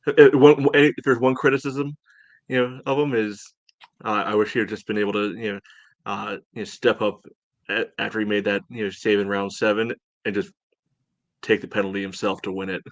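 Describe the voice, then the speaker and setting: Lower-pitched man in casual sports talk, dry sound. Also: little pitch movement, dry recording. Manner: measured, hedging, frequent "you know". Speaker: sports podcast co-host. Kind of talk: casual soccer match discussion.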